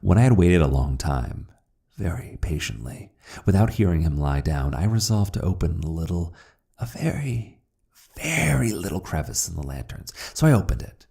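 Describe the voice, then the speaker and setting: A man playing a nervous, gleeful murderer who insists he is sane, in a theatrical gothic horror reading, halting and often dropping into whispers. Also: low voice, very animated, mellow, full character voice. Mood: hushed menace. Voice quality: gravelly, husky, breathy, often dropping to whispers. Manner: theatrical, halting, with repeated words and drawn-out phrases. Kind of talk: dramatic reading of gothic horror short story.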